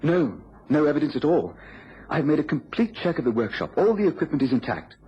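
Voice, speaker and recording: A man's voice: fairly high pitch, very animated, fairly quick, breathy edge, exaggerated voice. Worried British man pleading for help. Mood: anxious, pleading. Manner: earnest, worried, stammering slightly. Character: anxious inventor's assistant pleading for a detective's help. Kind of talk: radio drama acting. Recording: dull, noisy radio recording.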